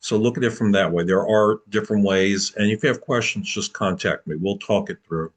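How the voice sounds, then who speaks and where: A man answering applicants' questions at an online open house, relaxed and explanatory, over a video call. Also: on the low side, a little fast. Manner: relaxed, explanatory, with repeats and restarts. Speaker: program admissions advisor.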